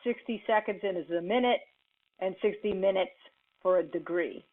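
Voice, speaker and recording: A woman teaching in an informal lecture style, explaining step by step in a halting, deliberate manner with pauses mid-sentence. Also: mid-range voice. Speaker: computing instructor. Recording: muffled, phone-like narrowband audio.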